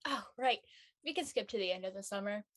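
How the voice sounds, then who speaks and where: A woman's voice: mid-range voice, a little fast, a bit bright, lightly acted. A woman playing a practical, slightly wistful college-bound young woman in casual scripted dialogue.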